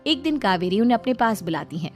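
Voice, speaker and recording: A woman's voice: fairly high pitch, very animated, fast talker, faint music behind. Woman narrating a moral story.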